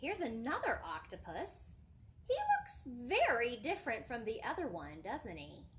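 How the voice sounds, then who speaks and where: Female kids' storytime host, bright-eyed teacherly delivery with big melodic swings, slight room noise. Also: on the high side, measured pace. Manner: animated, singsong, asking questions of young listeners. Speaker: children's librarian storytime presenter. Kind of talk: children's storytime teaching, addressing young viewers. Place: indoor library children's area, seated.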